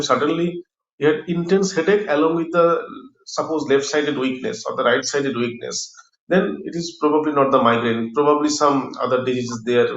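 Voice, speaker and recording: Indian male doctor, dull echoey audio. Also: mid-range voice. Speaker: neurologist, expert guest. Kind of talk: explaining medical topic in interview.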